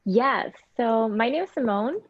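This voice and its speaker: A woman from Denmark and Germany, a language teacher, introducing herself in a chatty, relaxed way over a video call. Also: on the high side, measured pace. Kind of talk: casual self-introduction. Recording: dry, dull video call audio.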